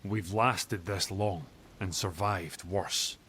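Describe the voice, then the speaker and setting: An actor playing a gruff, middle-aged hill dweller and father in a video game, wry, stubborn and plain-spoken. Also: sits low, steady tone, slow, fairly bright tone, a little hiss or hum. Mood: grudging. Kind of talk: video game cutscene acting.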